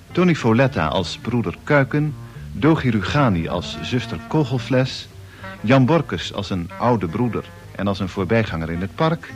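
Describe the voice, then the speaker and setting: Male radio announcer, formal credit reading, lowish pitch, varied melody, music behind. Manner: formal, measured. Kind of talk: scripted closing credits announcement.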